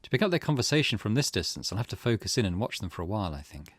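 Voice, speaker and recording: A man as a low-voiced, wary young protagonist. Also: very animated, very fast, breathy edge, no echo, studio quality. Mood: pensive.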